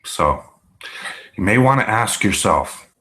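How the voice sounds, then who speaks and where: A man with a low voice talks slowly and scornfully, hurling insults at rivals in a ranting monologue. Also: animated, bright, forceful tone. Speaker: YouTuber.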